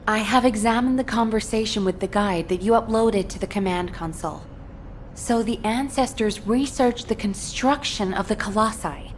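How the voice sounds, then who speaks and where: A woman's voice: fairly high pitch, slight background noise, natural voice. A woman playing a businesslike, composed headquarters officer in a sci-fi game, giving a professional mission debrief.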